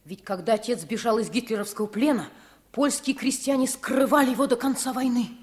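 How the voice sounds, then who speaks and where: A woman plays an eager village lad in a vintage radio drama. The voice is high, earnest and pleading, that of a loyal young helper. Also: brisk, a little mellow, lightly acted. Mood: earnest, hopeful. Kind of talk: 1950s radio play acting.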